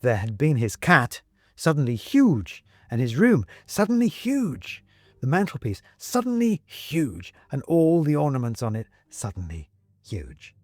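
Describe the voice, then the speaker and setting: Animated male storyteller, dry close sound. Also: medium pitch, a little slow, no room sound. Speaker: audiobook narrator. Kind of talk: scripted dramatic storytelling.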